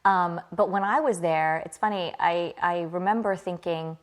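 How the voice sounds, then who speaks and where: A woman with a slightly low voice speaks as an interview guest. She tells personal stories casually. Her manner is reflective and conversational. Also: moderate pace. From born in England, grew up in small-town Virginia, USA. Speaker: interview guest, company CEO.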